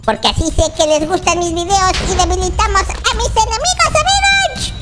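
A very high, squeaky little baby boy narrates a block-game adventure. The delivery is excitable, dramatic and repetitive, like a childish hero talking to viewers, with prominent music behind it. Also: moderate pace. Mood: alarmed, pleading. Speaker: gaming video host. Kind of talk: Minecraft roleplay commentary. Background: children's background music.

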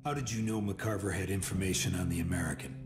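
A man's voice: sits low, fairly even intonation, fast, background noise, close to an everyday voice. A man playing a cold, sceptical interrogator in a game cutscene, controlled and probing. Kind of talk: cinematic dramatic dialogue.

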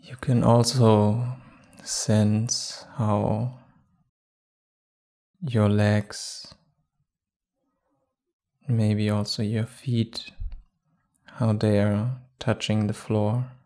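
A man guiding a meditation calmly and without hurry, leaving long pauses between short phrases. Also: on the low side. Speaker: meditation guide. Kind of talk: guided meditation.

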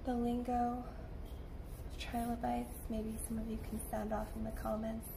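A young woman with a slightly high, hushed voice talks softly through an ASMR art demonstration. She sounds informal and unhurried, as if thinking aloud. There is clear background noise, with pencil scratching on paper. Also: monotone. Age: young adult. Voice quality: soft, hushed, sometimes slipping into whisper. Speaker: illustrator and paleontology student demonstrating drawing. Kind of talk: soft-spoken ASMR art tutorial. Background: pencil and paper sounds, room noise.

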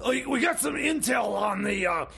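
Guy putting on a squeaky gravelly raspy voice, keeps stammering, half yelling. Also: moderate pace, full character voice. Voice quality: gravelly, raspy, high strained voice. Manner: stammers constantly, loud and excitable. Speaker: radio show co-host. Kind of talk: improvised comedy radio theater.

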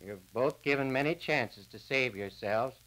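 An actor playing an earnest small-town man delivering a verdict in a measured, plain-spoken way, on a crackly 1940s radio drama disc. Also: mid-range voice, a bit bright, lightly acted. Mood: stern.

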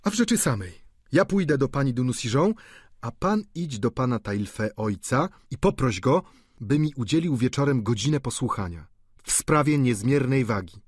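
A man's voice: medium pitch, expressive, moderate pace, slightly rough, dry recording, studio quality. A man voicing an earnest young male student in a storybook reading, speaking raised and urgent.

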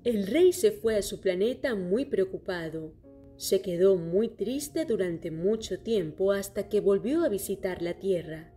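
A woman reading a children's story aloud in a clear, steady storytelling manner. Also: mid-range voice, measured pace. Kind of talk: scripted children's story narration. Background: soft music.

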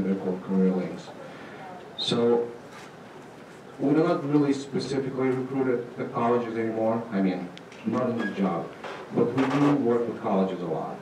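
A middle-aged man giving an informal conference presentation, halting and dryly humorous. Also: fairly low pitch. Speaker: conference presenter, state agency manager. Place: auditorium stage. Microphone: handheld microphone, recorded from far camera. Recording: echoey hall, distant, noisy room sound.

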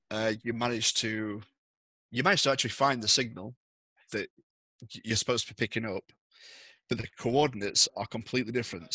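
Man, game master, bright forceful voice, husky edge, dry close headset sound, halting narration. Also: medium pitch, no room sound. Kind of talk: narrating a tabletop horror game. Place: indoors, on a video call.

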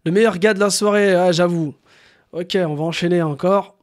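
High-pitched young man live streaming. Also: moderate pace. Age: young adult. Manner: slangy, animated, swearing. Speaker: prank call streamer. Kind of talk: casual live stream chat with crude prank storytelling. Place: small room at home.

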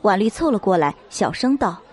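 A woman reading a dramatic novel as an audiobook narrator, in measured storytelling style. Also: medium pitch, very animated, a little mellow, breathy edge, some chatter behind.

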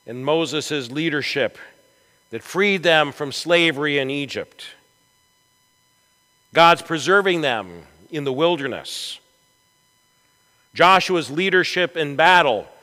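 A man preaching a storytelling sermon in a church, with a dramatic, deliberate delivery and emphatic swells. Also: on the high side, animated, sharp tone. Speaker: pastor preaching. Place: large church sanctuary, from the chancel. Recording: echoey church hall with long reverb.

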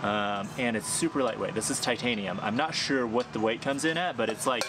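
Casual male vlogger in his thirties, mid-range voice, rambling gear review recorded outdoors with clear background noise. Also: medium pitch, fairly quick. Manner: chatty, thinking aloud with fillers and small laughs. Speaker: hiking vlogger. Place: sunny backyard, outdoors.

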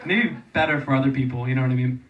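A young man co-hosting a live podcast on a club stage, teasing his partner with quick side remarks and frequent laughs. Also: medium pitch, recorded from a distance, muffled recording, background noise. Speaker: podcast co-host. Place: comedy club stage before an audience. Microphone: handheld mic.

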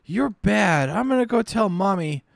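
A man doing a delirious dying girl, high and pleading. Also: lively intonation, unhurried, vocal fry, no room sound, clean studio sound, full character voice. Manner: high, small, pleading child-like voice. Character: dying young woman, delirious, childlike and pleading.

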